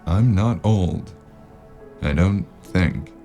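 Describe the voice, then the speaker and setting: Very deep gravelly noir detective. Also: male voice, very slow, faint music behind, exaggerated voice. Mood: sardonic. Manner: dry, deadpan. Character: weary, sardonic noir investigator, male, gruff stranger. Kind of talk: noir audio drama acting and first-person narration.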